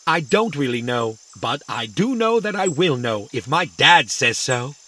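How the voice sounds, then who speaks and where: A man's voice: mid-range voice, sing-song, measured pace, pushed and bright, a little hiss or hum. A man voicing a childlike, cheeky robot in a kids' audio drama, with a blunt, naive and indignant manner. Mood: puzzled, naive. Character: cheeky, childlike robot, proud son of his inventor.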